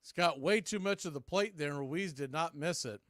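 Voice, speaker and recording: High-pitched man calling baseball play-by-play. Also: normal speed, a bit bright, no echo, studio quality. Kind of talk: live play-by-play commentary.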